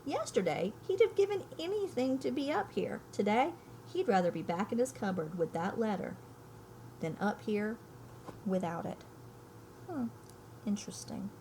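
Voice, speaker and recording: A woman's voice: mid-range voice, animated, moderate pace, pauses often, mellow, a little hiss or hum, poor audio. A woman teacher giving a read-aloud lesson, speaking emphatically and thinking aloud for her young listeners. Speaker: elementary school teacher. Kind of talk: read-aloud lesson, storybook reading and explaining.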